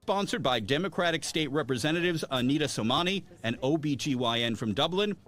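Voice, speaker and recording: A local TV news reporter reading his piece in a formal, even way. He has a slightly high-pitched voice and keeps a steady, measured delivery. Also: soft background music.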